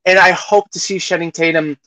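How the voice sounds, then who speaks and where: A man co-hosting a podcast, discussing films casually in a measured way and qualifying his opinions carefully. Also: high voice, fairly even intonation, bright, forceful tone, no echo. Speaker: podcast co-host.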